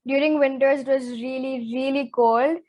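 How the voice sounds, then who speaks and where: Teen girl co-host, slightly high voice, chatty and quick to get worked up. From Zambia. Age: teens. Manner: chatty, animated, says "like" a lot. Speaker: co-host, interviewee. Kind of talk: casual chat podcast.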